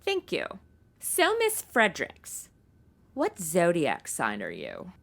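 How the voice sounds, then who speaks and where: An actress playing a sassy, impatient modern twenty-something in a comedy audio drama, flippant and gossipy with plenty of slang. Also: female voice, on the low side, wildly swooping pitch, slow pace, creaky, a bit bright, heavily acted. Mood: breezy.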